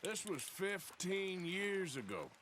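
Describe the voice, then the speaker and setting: A man's voice: high-pitched, big pitch swings, slow, full character voice. An actor playing a dry, grumbling outlaw in his thirties in a western game, laconic and sardonic. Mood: defensive. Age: 30s. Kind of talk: cinematic western game acting. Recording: loud outdoor ambience noise behind.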